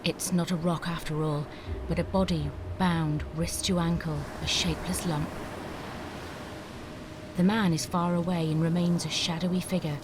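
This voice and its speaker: Woman narrator for screenplay reading, measured delivery, somewhat low voice. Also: flat delivery, background noise. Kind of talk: scripted narration of stage directions.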